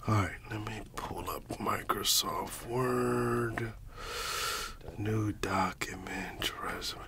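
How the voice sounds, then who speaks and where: A man doing soft-spoken ASMR roleplay, often whispering, talking in a halting, unhurried murmur as if to a friend beside him. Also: fairly low pitch, gravelly, noisy background. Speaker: ASMR creator.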